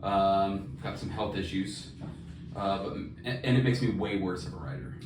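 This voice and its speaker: A man's voice: low voice, moderate pace. A man co-hosting a casual podcast, chatting in an informal, jokey, self-deprecating way. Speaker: podcast co-host. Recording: roomy, distant mic, faint noise.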